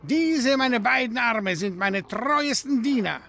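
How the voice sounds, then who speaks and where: A man's voice: very high-pitched, lively intonation, takes it slowly, bright, forceful tone, a little hiss or hum, full character voice. A man playing a bombastic, haggling circus director in a radio play, with a theatrical, grandiose delivery. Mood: brusque, mocking.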